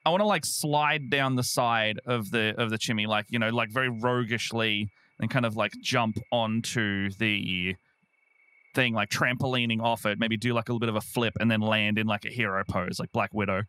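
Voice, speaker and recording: A man's voice: fairly low pitch, steady tone, moderate pace, pushed and bright, smooth, no echo. A man chatting casually as a player in a tabletop game, playful and informal. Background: faint guitar music.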